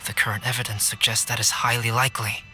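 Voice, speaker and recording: A man playing a reserved, analytical investigator in a video game cutscene, speaking in a measured, formal way. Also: medium pitch, flat delivery, bright, forceful tone.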